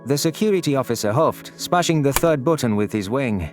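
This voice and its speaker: Mid-pitched man narrating with faint music. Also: lively intonation, a little fast. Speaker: narrator of audio stories. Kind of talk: scripted first-person story narration.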